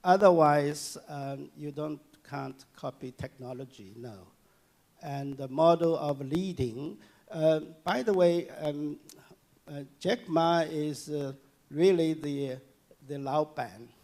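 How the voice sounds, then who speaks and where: A man giving a public lecture and answering audience questions, speaking haltingly with many fillers and restarts. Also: a little high, darkish tone. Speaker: guest lecturer. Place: lecture hall.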